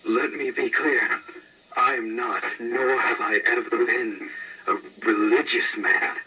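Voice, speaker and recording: A man's voice: mid-range voice, fairly even intonation, slow, bright, forceful tone, low-quality recording. Guy playing a rattled archaeologist guy, grave horror tape confession, keeps stumbling and repeating himself. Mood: haunted, shaken. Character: shaken, haunted male archaeological surveyor giving grim testimony. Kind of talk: horror monologue, recorded testimony.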